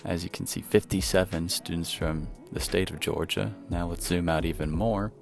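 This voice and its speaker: A young man, a nursing student, calmly explaining the parts of his video project about his classmates. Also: low-pitched, moderate pace, vocal fry, faint music behind. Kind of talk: narrated video project with interviews.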